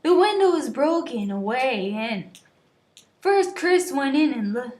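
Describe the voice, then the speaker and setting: High-voiced woman reading slowly in an echoey room. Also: very animated. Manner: giggly, stumbling over words, shouting the quoted lines. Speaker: reader of an amateur online story. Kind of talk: dramatic reading of a story, with stumbles and casual asides. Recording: roomy, echoey voice recording.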